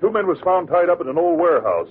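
Animated urgent policeman played by a man, tinny radio. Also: fairly high pitch, quick pace, natural voice. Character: urgent male policeman bringing a bulletin. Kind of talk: old-time radio drama acting. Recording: tinny vintage radio, faint noise.

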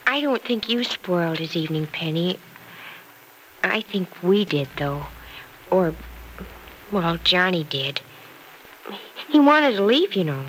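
A woman's voice: lowish voice, very animated, slow, long pauses. An actress playing an earnest, love-struck, girlish young woman in an old-time radio drama, with a breathless, wistful delivery. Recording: noisy old radio recording.

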